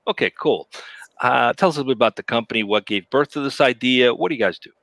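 A man's voice: medium pitch, fast, gravelly, fairly bright tone, no echo. A man hosting a live web show over a video call, chatty and upbeat, laughing easily between remarks. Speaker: show host.

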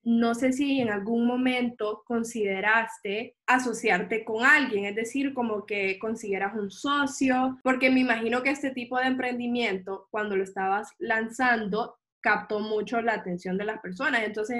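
A woman's voice: fairly high pitch, normal speed, few pauses, a bit bright. Friendly woman asking long questions. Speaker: interviewer. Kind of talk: interview questions.

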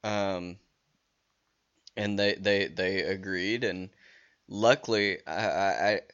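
A man's voice: low-pitched, fairly even intonation, slow pace, fairly bright tone, muffled recording. A man hosting a casual solo podcast, rambling with many restarts and fillers while he is losing his voice. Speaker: podcast host.

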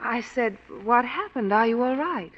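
A high, lively, jumpy young woman. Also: moderate pace, in a large hall. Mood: uneasy. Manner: rising to shrill denial. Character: young woman, nervous and defensive. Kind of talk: 1940s radio acting. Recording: dull 1940s radio broadcast with faint hiss.

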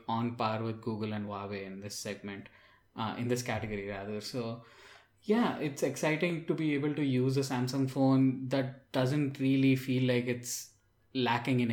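A man co-hosting a tech podcast, speaking casually with enthusiastic, opinionated delivery. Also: medium pitch. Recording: roomy, echoing room sound.

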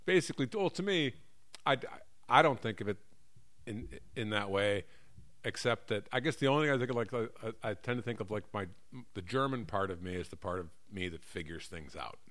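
A middle-aged American man talks in a fairly low voice with big swings of pitch. His delivery is animated and conversational, comic in style, looping back to correct himself as he rambles. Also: brisk. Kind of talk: casual comedic chat.

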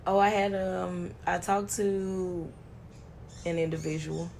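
A woman's voice: fairly low pitch, fairly even intonation, takes it slowly, gravelly, darkish tone, slight background noise. A young woman co-hosting a podcast, telling stories with lots of ums and laughter in casual chat. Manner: laughing, storytelling with many ums. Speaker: podcast co-host.

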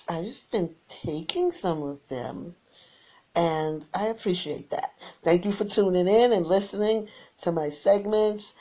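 A tired woman who is losing her voice, rambling through friendly shout-outs to listeners on her small internet radio station. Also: on the low side, rough recording. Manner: rambling and warm, repeats her sign-off.

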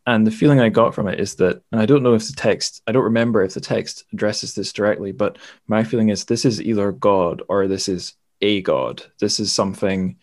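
Low-voiced man chatting casually about books. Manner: halting, thinking aloud. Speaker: podcast host. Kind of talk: casual book discussion.

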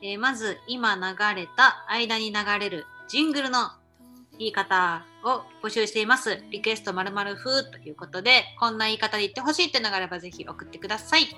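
A woman's voice: medium pitch, a little fast, sharp tone, soft background music. A woman co-hosting a radio-style podcast, chatting casually and reading out show announcements.

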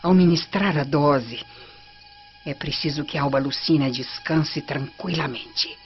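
A woman's voice: low voice, measured pace. An actress playing a vengeful, commanding Roman lady in a radio soap opera, with an imperious, conspiratorial delivery. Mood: sinister, conspiratorial. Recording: dull lo-fi radio drama with music.

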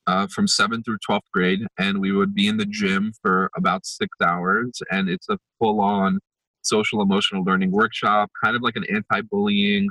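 Bright, husky-voiced man musing aloud. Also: fairly low pitch, no echo, poor audio. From born in Israel, raised in New Jersey and New York. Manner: reflective, many fillers and restarts. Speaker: empathy workshop facilitator, podcast guest. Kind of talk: conversational interview.

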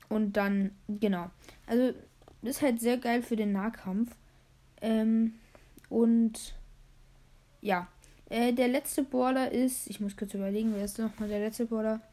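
A young woman hosting a casual gaming podcast, hoarse, rambling with many fillers while she plays a game. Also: fairly high pitch, a little mellow. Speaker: podcast host.

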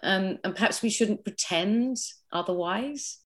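A woman presenting a podcast, speaking in a measured, considered and slightly tentative conversational way. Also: mid-range voice. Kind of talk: reflective discussion and introduction.

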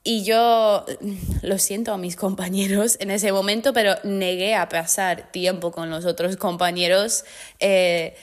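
Una mujer que presenta un pódcast y habla un español aprendido como segunda lengua, con tono relajado y de apoyo. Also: female voice, mid-range voice, measured pace. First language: not Spanish. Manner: relaxed, encouraging listener. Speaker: podcast host. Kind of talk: casual conversation.

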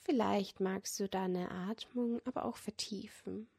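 A woman leading a guided yoga nidra relaxation as a meditation guide, speaking in a gentle, soothing manner that often drops close to a whisper. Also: mid-range voice, fairly even intonation, measured pace, creaky, breathy edge. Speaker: meditation guide and podcast host.

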